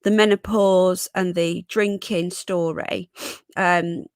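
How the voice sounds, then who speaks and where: A middle-aged British woman with a croaky, congested voice from a cold, hosting a casual live stream where she reads news aloud and chats to viewers. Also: on the low side, fairly even intonation, takes it slowly, no echo. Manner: chatty, reads chat comments aloud and greets viewers.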